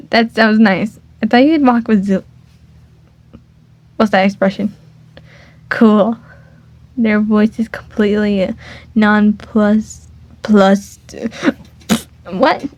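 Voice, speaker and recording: A woman's voice: mid-range voice, a little mellow, no room sound. Rambling woman reading a fan game. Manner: rambling, self-interrupting, stumbles over words. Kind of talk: reading a fan dating sim aloud. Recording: dull lo-fi dry home mic.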